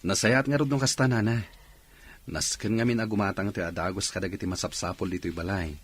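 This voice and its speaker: A man's voice: lowish voice, steady tone, fairly quick, breathy edge. A man acting a polite, hospitable restaurant owner in an Ilocano radio drama. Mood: attentive. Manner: polite, accommodating. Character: hospitable orphaned restaurant owner, courteous young boss.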